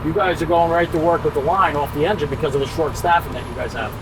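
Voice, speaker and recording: A male host with a slightly high voice chats his way through an interview on the move. He is conversational, checking for agreement, over clear vehicle noise. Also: fast talker. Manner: conversational, often checking with "right?". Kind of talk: casual on-location interview.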